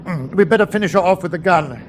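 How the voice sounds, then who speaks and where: An actor playing a prickly, ruthless German submarine captain, clipped, commanding and sardonic, in an old-time radio war drama style. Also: male voice, sits high, quick pace, background noise, close to an everyday voice. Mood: sharp, imperious.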